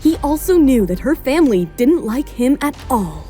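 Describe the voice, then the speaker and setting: High, mellow female story narrator. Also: big pitch swings, normal speed, faint music behind. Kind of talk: scripted dramatic narration.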